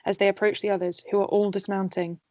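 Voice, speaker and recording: A woman's voice: mid-range voice, level tone, fast, mellow, dry recording, dull audio. Woman narrating, dry close sound. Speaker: narrator reading stage directions. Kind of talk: scripted narration in an amateur table read.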